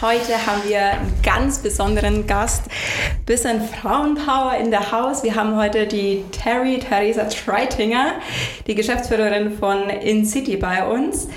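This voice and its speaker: A woman's voice: medium pitch, moderate pace. A woman hosting a podcast in an office, speaking in an informal, complimentary, casual way. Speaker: podcast host. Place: office room. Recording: roomy echo, clear background noise.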